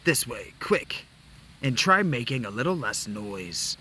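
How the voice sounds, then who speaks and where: A man's voice: medium pitch, very animated, sharp tone, slightly rough, exaggerated voice. Man doing a stern, mocking male captor. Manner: slow, formal old-fashioned speech.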